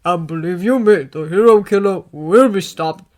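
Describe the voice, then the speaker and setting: A woman voicing a big, gentle grown male hero who comforts his young student in a fatherly way. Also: on the low side, moderate pace, lightly acted.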